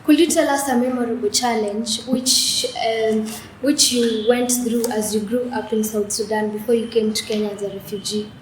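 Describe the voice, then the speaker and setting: Young female talk show host; bright higher voice, halting delivery, roomy echo, light hiss. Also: steady tone. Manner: stumbling, repeats words before questions. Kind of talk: interviewing. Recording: echoey room with faint noise.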